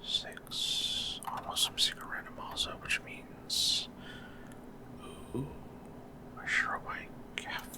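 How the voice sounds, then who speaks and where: A man giving casual ASMR video game commentary in a breathy, unvoiced whisper, explaining things without hurry. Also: slow pace. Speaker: gaming ASMR creator.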